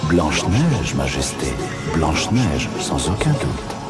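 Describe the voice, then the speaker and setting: An actor voicing a solemn male spirit of a magic mirror, booming and slow. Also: big pitch swings, exaggerated voice. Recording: cartoon soundtrack with loud music.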